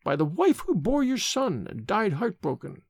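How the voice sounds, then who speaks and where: A man voicing an ancient, sharp-tongued old nurse woman, speaking slowly in a high, quavering voice. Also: sing-song, vocal fry, husky, no room sound, clean studio sound, full character voice. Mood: pleading.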